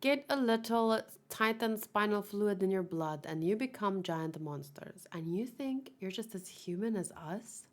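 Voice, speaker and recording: A woman's voice: medium pitch, expressive, a little slow, hardly any pauses, lightly acted. A woman reading aloud a manga's contemptuous, bigoted soldier in a cold, condescending manner. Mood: cold contempt.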